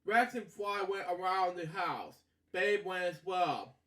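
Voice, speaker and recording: A man's voice: high-pitched, fairly bright tone. A man reading a children's story aloud as host, stumbling and repeating himself, with rambling asides and habitual catchphrases. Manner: halting, stumbling over words, repeating himself; frequent filler catchphrases like "okay" and "you know".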